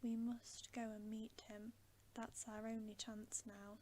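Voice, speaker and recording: A woman's voice: on the high side, flat delivery, slight background noise. Woman whispering as a grave, wary male storybook beaver. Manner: hushed, whispered, deliberate warnings. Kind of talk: whispered ASMR bedtime story reading.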